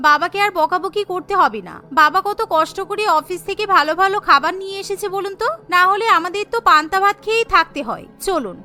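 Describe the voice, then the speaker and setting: A woman's voice: extremely high pitch, lively intonation, quick pace, hardly any pauses, sharp tone, faint music behind, exaggerated voice. A woman voicing a peacemaking young daughter-in-law in a cartoon, earnest and pleading. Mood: placating. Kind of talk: cartoon voice acting.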